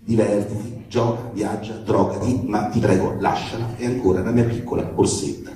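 Far from the microphone in a very reverberant room, a man performs a protective father with a very dark, mellow voice. He delivers a comic stage monologue to his daughter's boyfriend, halting and emphatic, tender one moment and sardonic the next. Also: on the low side, flat delivery, close to an everyday voice. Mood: wistful, pleading. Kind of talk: theatrical comic reading of a letter monologue. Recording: very echoey room, distant microphone.

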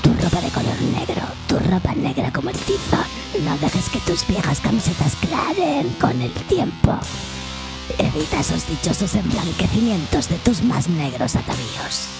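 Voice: mid-range voice, big pitch swings, normal speed. A voice reading a spoof radio commercial with ad-like emphasis. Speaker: ad announcer. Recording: with music behind.

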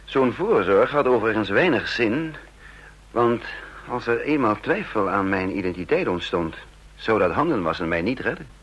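An actor playing a wry, introspective spy living under a false identity, narrating and talking in a measured, reflective way in a radio play. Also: male voice, on the low side, expressive, fairly bright tone, husky, lo-fi sound. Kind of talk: first-person narration and dialogue in Dutch radio play.

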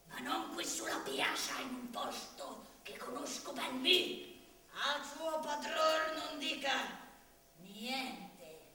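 Heard in a very echoey room, an old merchant, scheming and wheedling. The high voice swoops about in exclamatory commedia stage style. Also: pushed and bright, a little hiss or hum, heavily acted. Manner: theatrical, exclamatory, ingratiating. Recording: distant, very echoey stage recording.

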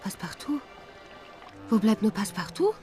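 Woman acting as a gentle polite lady, medium pitch, soft-spoken, radio play with faint music. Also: sing-song, normal speed, natural voice. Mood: worried. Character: gentle, admiring, anxious lady travel companion. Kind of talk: German audio drama acting.

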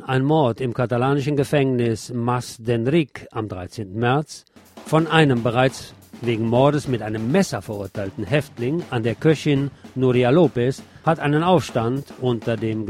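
Man, radio newsreader, average pitch, scripted delivery with occasional asides, light music underneath. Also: a little mellow. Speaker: radio news presenter. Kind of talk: scripted news narration with brief asides.

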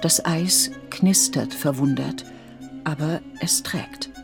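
A woman reading literary narration for a radio play in a measured, deliberate way. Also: low-pitched, gravelly. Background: music with violin.

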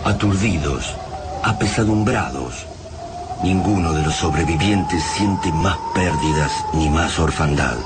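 A man's voice: rumbling low, slow pace, heavy background noise. A man narrating a radio drama in a grave, somber tone.

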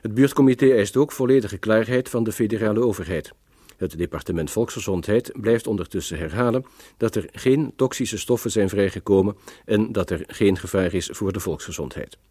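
A man's voice: fairly low pitch, a little fast, dark tone, breathy edge, no echo, clean studio sound. An actor playing a formal, matter-of-fact male newsreader delivering a scripted bulletin in a radio play. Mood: neutral, formal. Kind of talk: scripted news reading.

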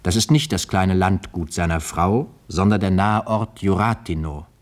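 A man presenting in a measured, scripted, literary style, as in a radio introduction. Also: sits low.

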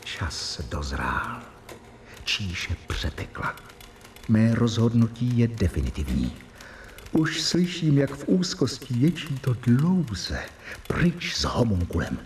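An actor playing a brooding doctor, delivering a solemn, dramatic monologue in a radio drama. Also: male voice, medium pitch, very animated, slight background noise, natural voice. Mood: grim, resolute. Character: brooding male doctor narrating grim night vigil.

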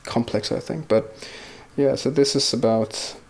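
A man's voice: medium pitch, measured pace, creaky. A man whose first language is Swedish, a musician giving casual, reflective interview answers as he searches for his words. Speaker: musician guest.

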